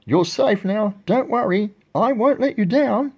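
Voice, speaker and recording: Man playing an earnest, big-hearted elephant, highly animated. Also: measured pace, breathy edge, dull audio, exaggerated voice. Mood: reassuring. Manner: pleading, protective. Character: kindly, earnest, determined male elephant.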